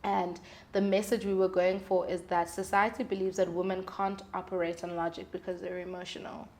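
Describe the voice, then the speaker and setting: A woman's voice: on the low side, flat delivery, brisk, a little mellow. A young woman speaking candidly and expressively in casual, slangy conversation as an interview guest. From South Africa. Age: young adult. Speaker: guest, singer-songwriter.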